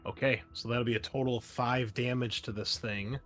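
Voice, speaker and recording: A man's voice: medium pitch, fairly even intonation, moderate pace, fairly bright tone, faint music behind. Man game master running a tabletop game. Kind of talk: tabletop game narration and rules talk.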